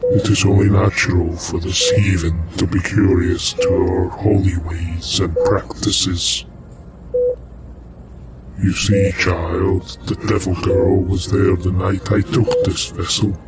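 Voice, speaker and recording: A man's voice: sits low, little pitch movement, takes it slowly, over loud music. A man voicing an ancient demonic entity that possesses a human host, speaking in solemn, archaic, commanding tones. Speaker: villain. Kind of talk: indie audio drama acting.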